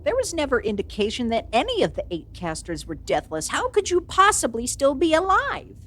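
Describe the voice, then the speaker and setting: Woman acting young trained mage, highish voice, swooping intonation, wary, questioning, excitable, fantasy audio drama. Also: brisk, exaggerated voice. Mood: alarmed disbelief.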